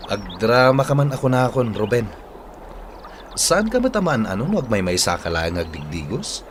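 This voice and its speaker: A man's voice: on the low side, wildly swooping pitch, normal speed, background noise. A man playing a devoted, reassuring romantic lead in a radio drama. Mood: teasing.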